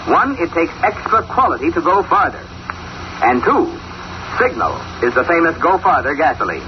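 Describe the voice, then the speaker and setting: Polished, animated male vintage radio announcer. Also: on the high side, normal speed. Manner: polished, emphatic salesmanship. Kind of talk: 1940s scripted radio commercial. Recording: dull, noisy vintage radio recording.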